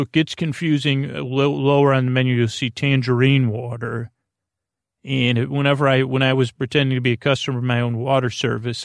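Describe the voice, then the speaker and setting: A man with a gravelly, creaky voice, recorded close and dry. He rambles as a podcast host in a whimsical monologue. His delivery is halting, with repeated words and drifting tangents. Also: mid-range voice, no echo.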